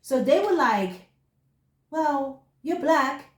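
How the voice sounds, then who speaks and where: A woman's voice: high-pitched, takes it slowly. A woman from Bermuda with a Bermudian accent, co-hosting a casual chat and telling stories that she acts out. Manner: animated storyteller, acts out quoted voices. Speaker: podcast co-host. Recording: echoey room.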